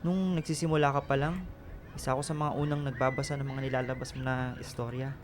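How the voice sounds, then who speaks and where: A man's voice: medium pitch, little pitch movement, fast talker, a little hiss or hum, natural voice. A man playing a devoted, reassuring young sweetheart in a radio drama. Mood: affectionate.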